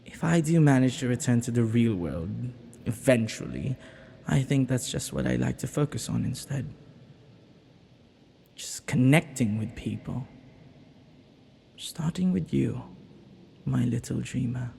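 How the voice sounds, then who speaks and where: A man's voice: medium pitch, animated, a little slow, gravelly, pauses often, a little hiss or hum. A man playing a flirty, ancient banished villain in an intimate audio roleplay, lonely and teasing, with hesitant, murmuring delivery. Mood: flirty, wistful. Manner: flirtatious, hesitant, breaking into murmurs and soft chuckles.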